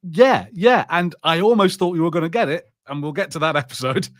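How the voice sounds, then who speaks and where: A man's voice: a little high, animated, brisk, no echo, studio quality. A man co-hosting a podcast, chatting casually through a film and TV review in an exasperated, sardonic way. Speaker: podcast co-host.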